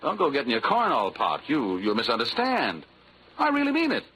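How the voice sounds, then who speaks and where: An actor playing a wisecracking, flirtatious hard-boiled investigator, narrating and bantering sardonically in a 1940s radio drama. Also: male voice, mid-range voice, wildly swooping pitch, normal speed, bright, forceful tone, low-quality recording. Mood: coaxing. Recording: old 1940s radio recording.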